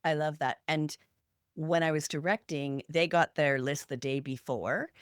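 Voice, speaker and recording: A woman's voice: sits low, steady tone, unhurried, no room sound, studio quality. A woman co-hosting a podcast in a conversational, advice-giving style. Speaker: podcast co-host.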